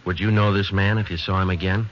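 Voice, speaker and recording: Terse, low-voiced radio police sergeant, a man. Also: quick pace, slight background noise, close to an everyday voice. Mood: questioning. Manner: clipped, flat, matter-of-fact. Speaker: police detective narrator. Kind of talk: 1940s radio drama. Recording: dull lo-fi 1940s radio broadcast.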